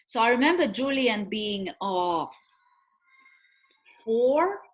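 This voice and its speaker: A woman's voice: a little high, sing-song, takes it slowly. A middle-aged woman from Romania with a Romanian accent, co-hosting a casual chat and telling stories with frequent laughs. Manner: chatty storyteller, laughs often. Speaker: co-host.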